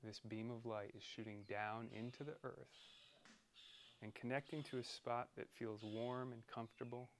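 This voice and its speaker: A man leading a guided meditation in a soothing, deliberate manner, pausing between short phrases. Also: medium pitch, steady tone, a little hiss or hum.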